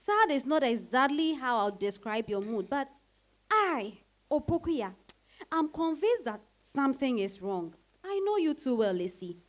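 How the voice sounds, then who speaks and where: A woman playing an outspoken, busy married mother in an expressive, emphatic dramatized reading. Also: high voice, a little slow. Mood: animated, insistent. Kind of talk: dramatized stage-style reading.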